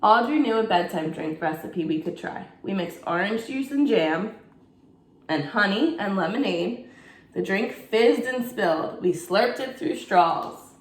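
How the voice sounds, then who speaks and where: A woman, a teacher, expressively reading a bedtime story aloud to children and pausing to ask her young listeners questions. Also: medium pitch, expressive. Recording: echoey room.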